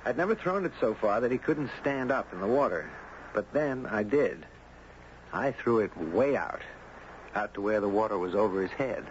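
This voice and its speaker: An actor playing an easygoing, puzzled dog owner who tells his story in a measured, conversational way in a 1970s radio drama. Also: fairly low pitch, animated, lightly acted. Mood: storytelling. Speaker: actor narrating and playing the lead. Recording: dull, noisy old radio broadcast.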